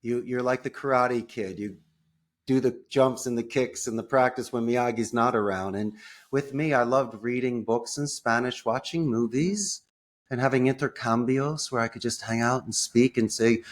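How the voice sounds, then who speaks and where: An American man speaking as an interview guest, telling personal stories in an enthusiastic, expressive way with colorful phrasing. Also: medium pitch, moderate pace. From United States. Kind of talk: conversational personal storytelling.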